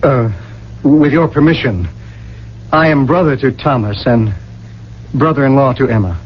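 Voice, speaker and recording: A man's voice: medium pitch, normal speed, close to an everyday voice. A man in a radio drama playing an earnest, genteel husband and advocate with a formal manner. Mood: earnest pleading. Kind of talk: 1980 radio drama acting. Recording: dull old radio recording, faint hum.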